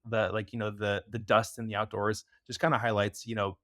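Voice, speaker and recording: A man's voice: fairly low pitch, little pitch movement, a bit bright, no echo, clean studio sound. A young American man chatting as a podcast guest, talking loosely with lots of fillers. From United States. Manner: fast, loose talk with many fillers. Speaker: podcast guest, preventative health expert. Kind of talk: casual interview chat.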